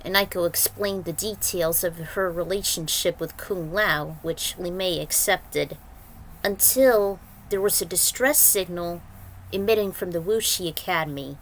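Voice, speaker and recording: Low-pitched woman, casual storyteller. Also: unhurried, a little hiss or hum, low-quality recording. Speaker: host reading own fan fiction aloud. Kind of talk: casual recap and intro to a story reading.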